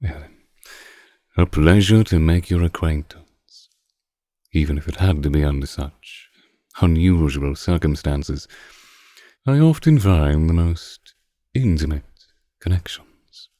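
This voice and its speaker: Very deep, slow vampire count, acted by a man. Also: warm tone, no room sound, clean studio sound, exaggerated voice. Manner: formal, archaic, teasing and condescending. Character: ancient courtly male vampire count, smoothly predatory. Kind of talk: slow intimate roleplay audio monologue.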